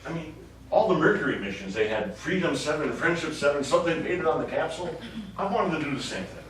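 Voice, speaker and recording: A man's voice: on the high side, animated, fast, natural voice. A man on stage playing a wry, wistful astronaut who is secretly an alien with a scheme. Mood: casual, wry. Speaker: stage actor in a one-act play. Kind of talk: live stage drama monologue. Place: small indoor studio theatre stage. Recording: echoey small theatre, distant mic, faint noise.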